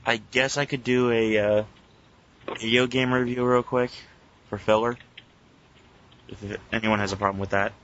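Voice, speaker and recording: A man co-hosting a casual podcast with teasing, profane banter and halting, informal review talk. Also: mid-range voice, steady tone, a bit bright, slight background noise. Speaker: podcast host. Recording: muffled lo-fi internet call recording.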